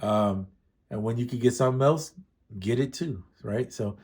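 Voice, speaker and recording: Mellow-voiced man rambling through a gear demo. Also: medium pitch, normal speed. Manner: rambling, self-correcting, hesitant fillers. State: slightly congested. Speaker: music gear YouTuber. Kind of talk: casual gear demo talk.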